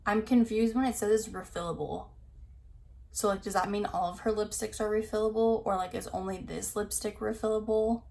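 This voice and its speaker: Young woman beauty vlogger, casual and rambling, slightly scratchy voice, middle pitch. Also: fairly even intonation, a little fast, vocal fry, dark tone. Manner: rambling, full of "like", reads product blurbs aloud. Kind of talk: casual chat reviewing new makeup releases.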